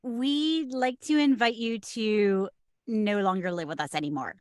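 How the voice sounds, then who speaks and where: A woman's voice: mid-range voice, measured pace, no room sound, clean studio sound, lightly acted. A woman playing a blunt, odd, scornful sibling roommate at a comedy table read.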